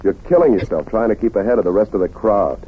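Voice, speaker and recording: An actor playing a stern, exasperated best friend, blunt and preachy, in an old-time radio drama. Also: male voice, on the low side, animated, quick pace, breathy edge. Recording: dull vintage radio recording.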